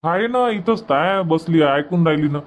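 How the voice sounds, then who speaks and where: A man's voice: fairly high pitch, expressive, a little fast, lightly acted. Older farmer father played by a man for cartoon comedy: practical, fond, colloquial, rural Vidarbha Marathi accent. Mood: fond, casual.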